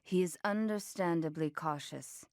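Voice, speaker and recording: A woman's voice: fairly low pitch, unhurried, darkish tone, dry recording, studio quality. An actress playing a cool, commanding female crime boss in a video game, composed and dryly mocking. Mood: cool, sly. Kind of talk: video game cutscene acting.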